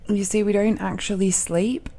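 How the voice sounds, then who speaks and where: Casting: female actor as affectionate dragon girl for romance audio drama, mellow, soft, with a creaky edge, shyly halting delivery. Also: medium pitch, steady tone. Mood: nervous, embarrassed. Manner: hesitant, halting confession with nervous laughs and sighs. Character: affectionate, nervous young dragon-blooded woman confessing love. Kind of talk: intimate romantic audio roleplay.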